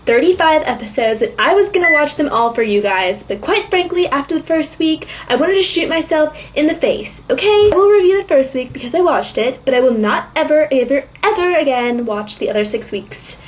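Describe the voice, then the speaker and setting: A woman's voice: sits high, lively intonation, a little fast, hardly any pauses. A woman with a Valley Girl accent giving a casual, sarcastic recap in an exaggerated, mocking delivery peppered with "like". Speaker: host of a web series review. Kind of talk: casual comedic recap to camera.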